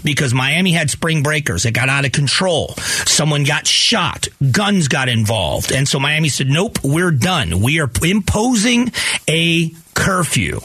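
A man's voice: a little high, big pitch swings, a little slow, pushed and bright, slightly rough, no room sound. An American man in his fifties from Florida, hosting a talk radio show with opinionated monologues that repeat phrases for emphasis. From Florida, United States. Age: 50s. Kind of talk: conversational radio monologue on news. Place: radio studio.

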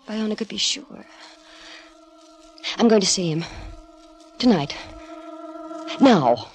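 An actress playing an anxious, proud wife desperately in love, with a melodramatic, emotional delivery in a 1950s radio drama. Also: female voice, medium pitch, sing-song, slow pace, soft background music.

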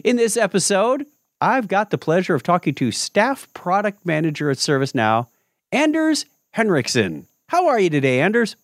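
A male podcast host with a fairly high, bouncy voice that swings all over its range. He chats casually and jokes around. The sound is dry and close, with no room echo. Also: normal speed. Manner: lively, jokey, tangent-prone. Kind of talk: casual interview chat.

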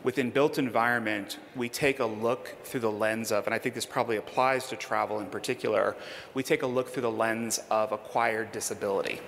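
A man's voice: fairly low pitch, steady tone, fairly quick, a bit bright. A man giving a conference presentation in an emphatic, persuasive way, then taking audience questions. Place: conference hall. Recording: reverberant hall, faint background noise.

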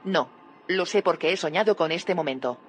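A woman's voice: fairly low pitch, moderate pace, a little hiss or hum, lightly acted. An actress playing an earnest, probing woman in an audio drama, serious and inquisitive. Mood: confronting, intent. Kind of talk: audio fiction drama acting.

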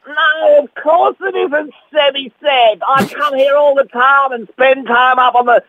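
A man's voice: extremely high pitch, fairly even intonation, unhurried, no echo, narrow, tinny audio. A man voicing a flamboyant, gushing male entertainment reporter in a theatrical, cooing comic radio interview. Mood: gushing, giddy.

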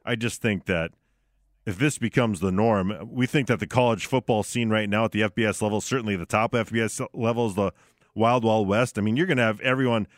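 A man's voice: fairly low pitch, fairly even intonation, a little fast, no echo. A man co-hosting an unscripted sports talk radio show, chatting from a studio on a close microphone.

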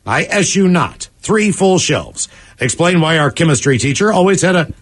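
A man in his forties, a radio host reading listener emails in an animated, jokey way. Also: on the high side, moderate pace. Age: 40s. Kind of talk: morning radio show.

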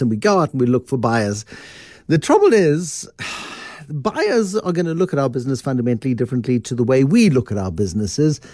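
A man hosting an interview, asking questions in a lively, conversational way. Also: on the high side, no room sound, clean studio sound. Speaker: interview host.

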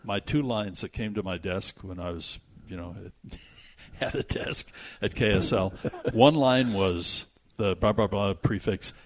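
A man's voice: fairly low pitch, a little slow, hardly any pauses, a little hiss or hum. A man hosting a movie show, chatting casually and reading out entertainment news with conversational asides. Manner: conversational, reads news items aloud with asides.

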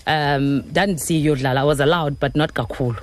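A woman's voice: sits low, fairly even intonation, normal speed, slight background noise. A young woman from Tanzania, a radio station's events coordinator, telling her story openly in a casual interview and slipping between English and Xhosa. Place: radio studio.

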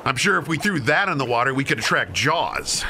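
A man's voice: mid-range voice, very animated, brisk, pushed and bright. A man playing a grumpy, sarcastic amateur fisherman bickering in a comic radio play. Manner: sardonic, bickering. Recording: clear boat and water noise.

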